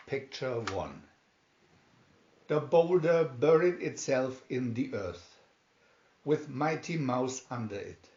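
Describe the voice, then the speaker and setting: A man reading a children's adventure story aloud as scripted narration, with dramatic, deliberate, emphatic phrasing. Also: medium pitch, a little mellow. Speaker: reader of children's picture booklets. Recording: dull, echoey home recording.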